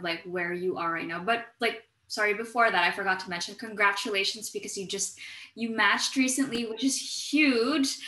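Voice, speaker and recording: A woman with a bright, forward voice hosts a casual interview. She is chatty and rambles, breaking into her own sentences as she goes. The recording is roomy, with clear echo around her voice. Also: mid-range voice. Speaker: interview series host.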